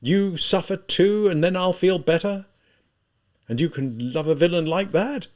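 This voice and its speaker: A man voicing a tormented, spiteful young murderer in an audiobook, halting and emphatic as he reproaches himself. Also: medium pitch, expressive, lightly acted.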